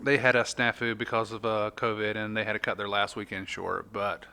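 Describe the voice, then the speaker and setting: Bright-voiced American man hosting a podcast. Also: on the low side, little pitch movement, brisk, smooth. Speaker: podcast host.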